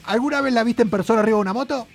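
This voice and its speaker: A man's voice: very high voice, racing, over loud music. A man with an Argentine accent co-hosting a podcast, teasing and joking in a rambling way with lots of restarts. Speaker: podcast co-host. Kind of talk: casual podcast chat.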